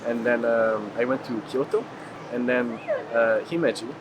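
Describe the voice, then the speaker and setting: A man's voice: medium pitch, normal speed. A man from Hungary being interviewed as a traveler, chatting casually and loosely with lots of filler words. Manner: frequent "like" filler, rambling. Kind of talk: casual chat. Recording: clear ambient street noise.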